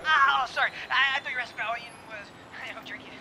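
A man playing an excitable, rambling, slangy stoner who phones a radio show in an audio drama and stammers. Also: extremely high pitch, racing, some chatter behind, full character voice. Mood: eager, rambling. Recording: noisy call with street ambience behind.

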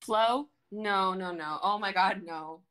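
A woman's voice: medium pitch, slow pace, fairly bright tone. Young woman playing a bewildered, anxious woman. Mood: alarmed. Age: 20s. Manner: incredulous, questioning. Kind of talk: amateur dramatic script reading. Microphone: microphone on a video call.

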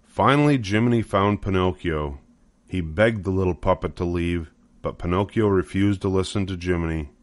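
A man's voice: deep voice, normal speed, dry recording. An older man narrating a children's storybook from a script.

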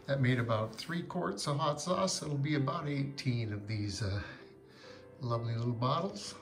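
An older man hosting a home cooking show, explaining each step in a relaxed way with frequent pauses, in a kitchen and then out at a backyard grill. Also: medium pitch. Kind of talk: casual cooking demonstration. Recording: music playing underneath.

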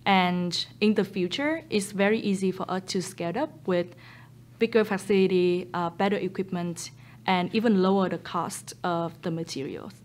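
Soft-spoken Vietnamese-accented woman founder. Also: on the low side, moderate pace. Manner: earnest, steady, with frequent ums and non-native grammar. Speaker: startup founder. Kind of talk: seated documentary interview. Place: photo studio with a blue backdrop, indoors. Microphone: boom mic overhead.

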